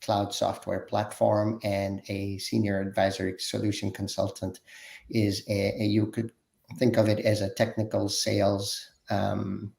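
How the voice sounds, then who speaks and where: Panel guest: man, low creaky voice, narrow intonation, halting, informal self-introduction. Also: monotone, darkish tone. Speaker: panel guest, tech sales consultant.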